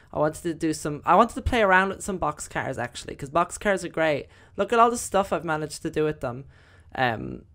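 A young woman with a slightly croaky voice, chatting casually through gameplay commentary with lots of fillers. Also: low voice, fairly bright tone. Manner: chatty, rambling, many fillers. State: croaky, voice recovering. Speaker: gaming video host.

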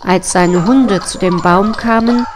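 A woman's voice: medium pitch, slow pace, background noise. A woman reading a fairy tale as a scripted storybook narration.

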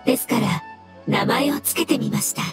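Woman voicing a gentle, high-voiced fungus creature. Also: over music, lightly acted. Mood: gentle, caring. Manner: courteous, measured, formal phrasing. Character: gentle, polite elemental creature in jellyfish-like fungus form. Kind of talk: scripted game dialogue.

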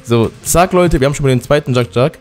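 A hoarse man with a cold, giving excited let's-play commentary and often breaking into loud outbursts. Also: mid-range voice, quick pace, soft background music. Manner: excitable, loud outbursts, keeps addressing viewers. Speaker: gaming YouTuber.

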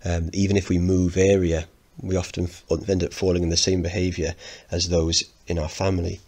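A man's voice: deep voice, level tone, a little fast, a little mellow. A man teaching, narrating a play and explaining it in an instructive way.

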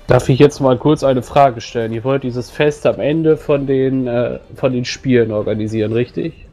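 Male role-player, average pitch, casual in-character talk, blunt and colloquial. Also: moderate pace, mellow. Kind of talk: casual in-character tabletop roleplay.

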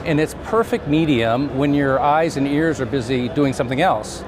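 A man in his fifties explaining things conversationally as an interview guest, in a measured, halting way with pauses. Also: medium pitch, expressive. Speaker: company CEO, interview guest. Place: trade show booth set, indoors. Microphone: lapel mic. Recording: clear background noise of busy trade show.

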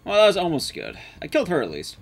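A man's voice: a little high, wildly swooping pitch, quick pace, creaky, noisy background. A man giving casual live commentary as he plays a game, swearing and muttering asides to himself. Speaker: streamer, speedrunner. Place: indoor room, streaming setup.

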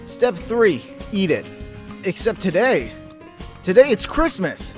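Man acting a scrappy, animated teenage boy. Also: high-pitched, slow, faint music behind, exaggerated voice. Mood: blunt, eager. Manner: blunt, excitable, crude. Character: scrappy, simple-minded, hungry teenage boy. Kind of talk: comic dub acting.

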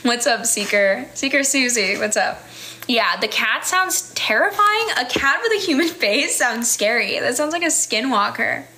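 A woman's voice: a little high, sing-song, measured pace, sharp tone. A woman in her twenties with a standard American accent, chatting casually on a livestream, reading viewer comments with frequent laughs and fillers. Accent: General American. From United States. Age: 20s. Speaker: livestream host. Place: small indoor room. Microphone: phone camera close up.

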